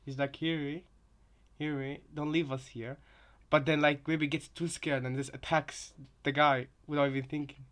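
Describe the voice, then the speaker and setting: A man's voice: a little high, level tone, normal speed, a bit bright. A man in casual chat as a co-commentator, explaining things simply and hesitantly, often restarting and repeating his phrases.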